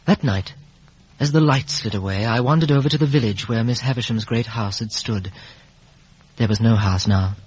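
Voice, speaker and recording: An actor playing an earnest, remorseful gentleman telling his life story in a reflective, literary way in a 1950s radio drama. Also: mid-range voice, breathy edge, lightly acted. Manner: literary, reflective, halting with emotion. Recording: muffled vintage 1950s radio recording.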